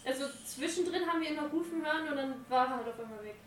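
Woman, roleplaying player, slightly higher voice, halting, echoey room, distant mic. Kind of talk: in-character roleplay chat. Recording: roomy, distant mic, faint noise.

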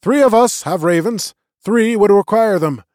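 Narrator doing an old wise magician, measured and kindly, pitched up and a bit louder than his narration, dry close sound. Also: no echo, close to an everyday voice. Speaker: elder magician leading a council.

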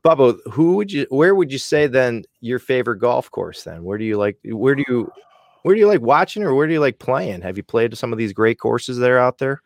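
A man hosting a podcast with a smooth voice, running a casual interview in a friendly, energetic way. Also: medium pitch, fairly quick, no room sound, studio quality. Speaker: podcast host.

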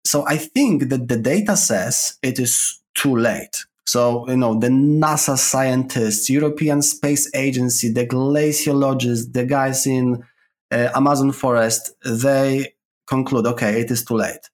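A man's voice: mid-range voice, a little slow. A man from Poland with a Polish accent, a podcast guest who explains his views with examples on a call. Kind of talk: casual interview chat. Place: remote call.

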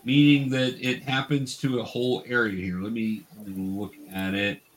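A man's voice: mid-range voice, little pitch movement, normal speed, crowd noise. Male game master, mid-range voice, patient and explanatory, teaching a tabletop fantasy game in conversational narration.

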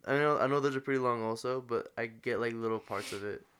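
A man in his twenties co-hosting a casual podcast, easygoing and quick to laugh. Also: mid-range voice, fairly bright tone, clear, resonant tone. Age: 20s. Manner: easygoing, giggly. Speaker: podcast co-host. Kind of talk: casual chat.

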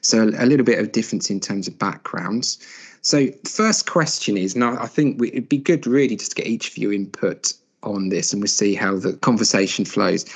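A man's voice: on the low side, lively intonation, moderate pace, breathy edge. A man hosting a panel discussion, asking open questions in an easygoing way. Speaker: panel host. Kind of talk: moderating a discussion.

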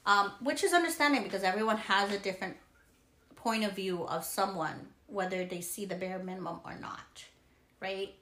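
A woman in her thirties co-hosting a podcast, talking slowly and searching for words with restarts. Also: mid-range voice, fairly bright tone. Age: 30s. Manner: slow, searching, piles up restarts. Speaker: podcast co-host. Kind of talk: casual chat. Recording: echoey room.

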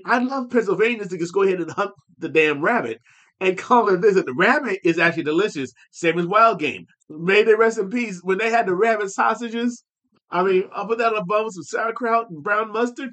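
A podcast co-host with a slightly raised pitch talks in a loose, casual way. He rambles through his points, circling back and restarting sentences as he thinks aloud. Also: a little fast, fairly bright tone. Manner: rambling, many fillers and restarts.

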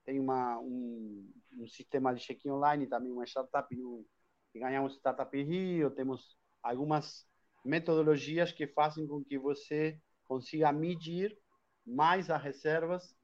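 A man's voice: medium pitch, steady tone, measured pace, highs cut off. A man from Argentina with an Argentine accent, a Spanish speaker talking as a guest in a live online interview, talkative with many restarts and tag questions. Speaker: guest, hostel chain owner.

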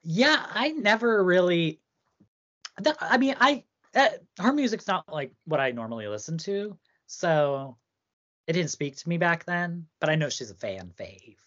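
A woman's voice: lowish voice, big pitch swings, measured pace, dull audio. A woman co-hosting a podcast, chatting casually and rambling with lots of "like". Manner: chatty, rambling, heavy use of "like". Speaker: podcast co-host. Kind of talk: casual chat about song contests.

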